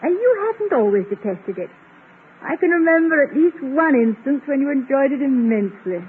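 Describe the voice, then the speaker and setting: An actress playing a witty, affectionate wife and former stage performer in an old radio comedy-drama, polite and gently teasing. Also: female voice, high-pitched, lively intonation, a little fast. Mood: fond, playful. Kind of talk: 1940s-50s radio comedy-drama acting. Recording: noisy, narrow-band old radio recording.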